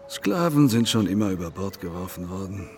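An actor in a German radio drama playing a cool, cynical pragmatist, blunt, needling and matter-of-fact. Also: male voice, low-pitched, sing-song, a little fast, darkish tone, faint music behind. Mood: stern, provoking. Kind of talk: radio drama acting, literary dialogue.

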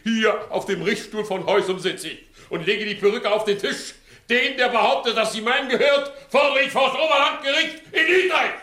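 Pompous, guilty village judge, high sharp voice, 1960s radio play in a roomy studio. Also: male voice, normal speed. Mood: pompous, defiant. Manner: pompous, formal, stammering under pressure. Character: blustering, evasive, guilty village judge on the defensive. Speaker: actor.

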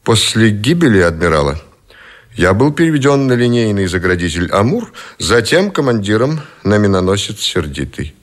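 An actor playing a captive middle-aged admiral who gives formal, measured, dignified testimony in a radio play. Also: male voice, on the low side, big pitch swings. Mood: formal, composed.

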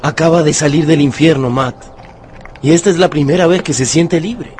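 A man's voice: a little high, normal speed, darkish tone, a little hiss or hum, close to an everyday voice. A man playing a principled, kind but firm miner in a radio drama, earnest and commanding. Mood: compassionate, resolute. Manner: earnest, commanding yet compassionate.

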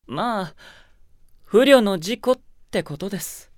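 An actor playing a young, mockingly courteous, sadistic sorcerer in a radio drama, smug and theatrical. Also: male voice, sits high, animated, slow, dry recording, clean studio sound. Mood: silky mockery. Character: young male evil sorcerer, mocking, coolly polite, sadistic. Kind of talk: Japanese radio drama acting.

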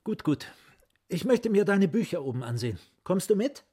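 An actor playing a suave psychiatrist placating his brother in a radio drama, husky and ingratiating. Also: sits high, sing-song, normal speed, dark tone, heavily acted. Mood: flattering, placating. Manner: smooth-talking, ingratiating. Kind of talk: German radio drama acting.

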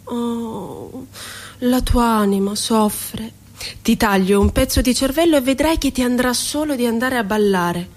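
A woman's voice: fairly high pitch, a little slow. Woman mimicking a glib, cruel, mock-soothing psychiatrist. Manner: mocking, falsely soothing, theatrical. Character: cruel, glib psychiatrist offering lobotomies and shock.

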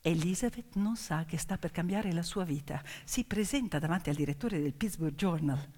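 A woman performing emphatic theatrical storytelling, with rhetorical questions and dramatic pauses. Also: fairly low pitch, lively intonation, dark tone. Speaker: stage storyteller, actress. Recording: long hall reverb, music behind. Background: piano music.

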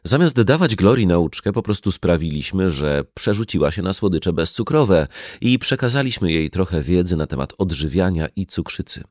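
Low-voiced man narrating an audiobook. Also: expressive, moderate pace, few pauses, a little mellow, no room sound, clean studio sound. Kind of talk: scripted nonfiction audiobook narration.

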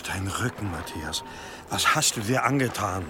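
An actor playing a commanding, grave abbot in a German radio drama, authoritative and urgent. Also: male voice, sits low, animated, normal speed, background noise. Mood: grave, resigned.

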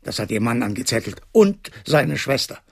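A husky, creaky old doctor. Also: male voice, medium pitch, very animated, moderate pace, no room sound, clean studio sound. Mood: defensive, bitter. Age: 70s. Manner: weary, apologetic, explaining. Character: fearful, resigned seventy-year-old male animal doctor. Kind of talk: radio drama acting.

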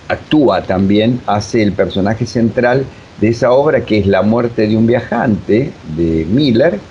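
An Argentine man answers interview questions in a low, dark voice. He explains things haltingly, repeating words. The recording is muffled with faint noise. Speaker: radio drama director being interviewed. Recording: dull lo-fi audio, faint hiss.

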